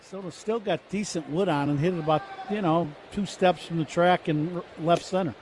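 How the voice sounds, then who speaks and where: A man's voice: on the high side, moderate pace, darkish tone, slight background noise. A man giving casual, rambling color commentary on a baseball radio broadcast.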